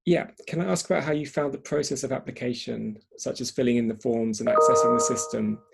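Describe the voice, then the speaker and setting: A man's voice: mid-range voice, steady tone, a little fast, gravelly, slightly rough. A man hosting a panel discussion in spontaneous talk, hesitantly repeating and rephrasing as he goes. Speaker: event host and moderator.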